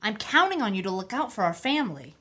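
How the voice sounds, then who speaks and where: An actress playing a young mother in her thirties who speaks firmly to her son and gently to her little daughter. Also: medium pitch, big pitch swings, quick pace. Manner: firm, gentle maternal. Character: young mother, firm yet tender, steady in crisis.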